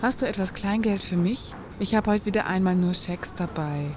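A woman's voice: medium pitch, normal speed, close to an everyday voice. A woman playing a bored, spoiled bourgeois wife in a satirical radio play, with affected, dryly ironic, wordy delivery. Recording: noisy background ambience.

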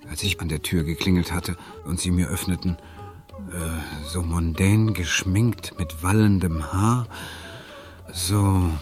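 A man's voice: low voice, fairly even intonation, takes it slowly, a little mellow, soft background music. An actor playing a shy, polite male client, hesitant and formal, in a radio play. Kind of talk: audio drama dialogue.

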